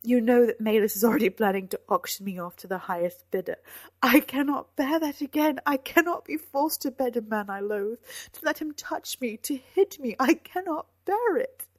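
A woman voicing a lovesick, desperate young noblewoman in a dramatic audiobook, emotional and pleading, swinging from hushed to loud. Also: medium pitch, expressive, normal speed, breathy edge. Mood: desperate anger. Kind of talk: dramatic audiobook character acting.

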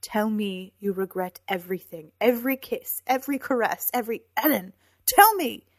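Woman acting a husky, anguished young noblewoman. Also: medium pitch, expressive, moderate pace. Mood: desperate anger. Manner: emotional, pleading, swinging from hushed to loud. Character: anguished young noblewoman, lovesick, desperate and wrathful. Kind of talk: dramatic audiobook character acting.

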